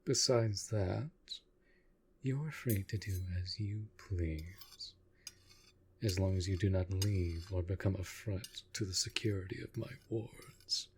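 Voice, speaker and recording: A man playing a courtly, protective vampire lord in a roleplay audio drama, speaking softly and formally in measured, deliberate phrases. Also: deep voice, a little hiss or hum. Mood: solemn, reassuring. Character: courtly, protective male vampire master of a manor.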